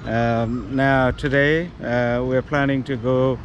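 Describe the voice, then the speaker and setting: Guy in his 50s doing a travel vlog on a handheld cam, talks real slow with lots of ums, traffic noise behind. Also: medium pitch, steady tone, highs cut off. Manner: slow, hesitant, many ums and uhs. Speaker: travel vlogger. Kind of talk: walking-tour vlog narration. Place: outdoors on city streets. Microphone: action camera held at arm's length. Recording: outdoor street noise, traffic.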